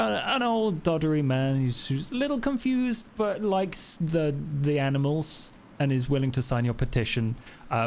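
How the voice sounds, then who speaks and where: A man with an average-pitched voice acts as game master, narrating scenes to players in casual talk. Some noise is audible behind him. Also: a little mellow. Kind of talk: narrating a tabletop roleplay game.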